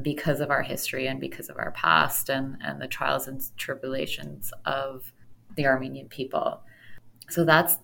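A woman's voice: deep voice, vocal fry, fairly bright tone, slight background noise. A Canadian woman giving a reflective, conversational interview answer, searching thoughtfully for her words. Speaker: podcast guest.

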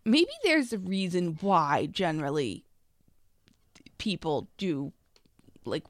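A woman's voice: mid-range voice, fairly bright tone, no room sound. Musing woman co-host, dry sound. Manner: musing, hedging with fillers. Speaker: podcast co-host. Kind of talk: casual chat.

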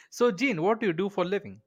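Male podcast host, slightly higher voice, informal and chatty over a video call. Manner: informal, many fillers, chuckles. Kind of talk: casual interview chat.

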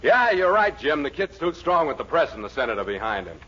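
Blustery middle-aged American political boss, high lively voice, excitable, patronizing, vintage radio comedy. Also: male voice, brisk, loud music behind the voice. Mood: resigned. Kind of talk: 1940s radio situation comedy acting. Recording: muffled, slightly noisy 1940s radio recording.

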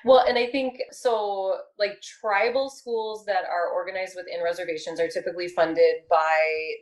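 Woman, thirties, earnest measured talk, low-pitched, roomy echo. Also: steady tone. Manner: measured, earnest, many ums. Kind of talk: casual discussion.

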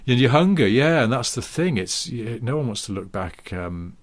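A man's voice: medium pitch, big pitch swings, normal speed. A man in his forties co-hosting a podcast, telling stories in a rambling way with frequent ums and restarts. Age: 40s. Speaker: podcast co-host. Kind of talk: casual chat.

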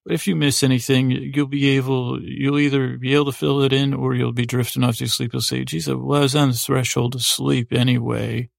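A man hosting a sleep podcast, giving a soothing, meandering bedtime monologue in a halting way, trailing off and restarting as he digresses. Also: mid-range voice, level tone, vocal fry, no echo, clean studio sound. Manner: soothing, lulling.

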